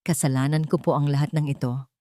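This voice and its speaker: A woman's voice: low voice, flat delivery, fast talker, mellow, no room sound, pitched below the performer's own voice, close to an everyday voice. Casting: narrator as heartbroken young woman, humble, tearful, begging, audio melodrama heroine, dry recording. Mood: tearful guilt. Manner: pleading, tearful. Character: desperate, pleading, guilt-ridden young woman.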